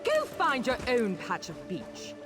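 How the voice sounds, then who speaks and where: Haughty female elf perfectionist, high voice with dramatic swoops, fussy game-character delivery. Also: huge pitch swings, slow pace, full character voice. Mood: haughty, dismissive. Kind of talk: video game character dialogue. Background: game music.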